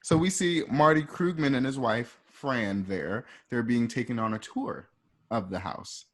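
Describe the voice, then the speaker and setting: Stage-direction narrator: man, medium pitch, casual, informal script read. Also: unhurried, a bit bright.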